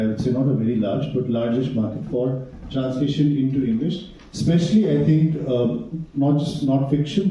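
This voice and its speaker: A man's voice: medium pitch, dark tone. A man whose mother tongue is Nepali, speaking on a panel with many hesitations and restarts. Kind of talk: panel discussion. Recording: echoey hall from far off, dull sound.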